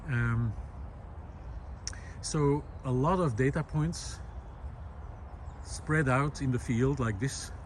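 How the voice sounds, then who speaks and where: A man, musing aloud in a walk-and-talk video. He talks reflectively and often pauses with "uh". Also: mid-range voice, a little hiss or hum. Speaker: video essayist. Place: outdoor park lawn. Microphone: handheld camera while walking.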